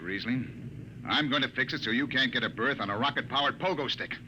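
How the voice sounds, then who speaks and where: An actor playing a short-tempered spaceship captain who barks orders, in a 1950s radio drama. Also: male voice, mid-range voice, a little fast, highs cut off, natural voice. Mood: angry. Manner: barking orders, exasperated. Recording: old radio broadcast, noisy.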